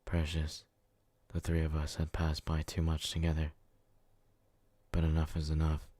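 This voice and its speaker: A man's voice: extremely low pitch, monotone, normal speed, dark tone, no room sound. A man playing a devoted, brooding, hot-headed but tender yandere in an ASMR roleplay, speaking with earnest intensity. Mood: solemn.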